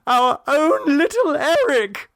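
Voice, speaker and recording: A fussy, doting mother, as a male reader puts her on coos in a high falsetto full of baby talk. The voice jumps well above his own and swings widely in pitch. It sits close and dry, with no room sound. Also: sing-song, slow pace, fairly bright tone, no room sound, full character voice. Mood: gushing. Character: fussy doting middle-class mother, shrill.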